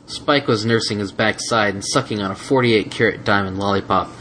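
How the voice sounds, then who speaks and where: A man narrates a written story in a fairly low voice. He reads as an audiobook narrator does, and the recording carries a little background noise. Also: fairly quick, a bit bright. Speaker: audiobook reader.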